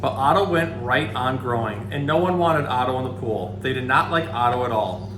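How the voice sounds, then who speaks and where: A man's voice: medium pitch, moderate pace. A man reading a children's story aloud expressively, breaking into casual asides to a pet. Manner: expressive, acts out the dialogue. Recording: echoey room, background hum.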